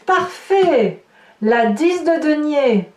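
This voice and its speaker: High-voiced woman in an echoey room. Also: lively intonation, extremely slow, darkish tone. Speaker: tarot reader hosting a horoscope channel. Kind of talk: informal tarot reading narrated to viewers. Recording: roomy echo, distant microphone.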